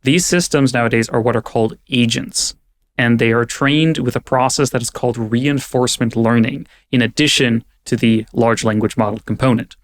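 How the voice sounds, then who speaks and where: A man's voice: medium pitch, fairly even intonation, normal speed. A young man from California who grew up partly in Germany, a podcast guest explaining ideas in a thoughtful, conversational way with frequent fillers. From California, raised partly in Germany. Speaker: guest, AI safety advocate.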